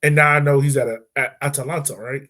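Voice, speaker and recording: A man co-hosting a podcast, talking football in a loose, animated way with lots of fillers and restarts. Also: mid-range voice, quick pace. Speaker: podcast co-host. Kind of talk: casual sports chat.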